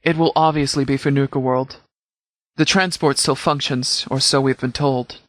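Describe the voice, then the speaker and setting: An actor playing a stern but caring military commander in a video game, speaking in a measured, formal, authoritative way. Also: male voice, medium pitch, steady tone, fairly bright tone, dry recording, clean studio sound, natural voice. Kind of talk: scripted game dialogue.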